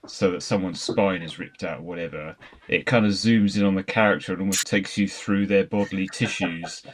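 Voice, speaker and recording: A man with a low voice talks casually as a podcast panellist. He gives his opinions descriptively, with emphatic wording. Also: fairly quick, a little hiss or hum.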